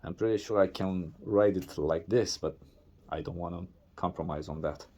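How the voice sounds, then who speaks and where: A man whose first language is not English, narrating a casual workshop vlog in a halting way with many restarts and fillers. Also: low-pitched, mellow. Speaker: DIY video maker.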